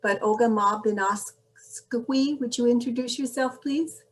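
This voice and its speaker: A woman hosting an online meeting over a video call, introducing each guest warmly and graciously. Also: a little high, measured pace. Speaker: meeting host.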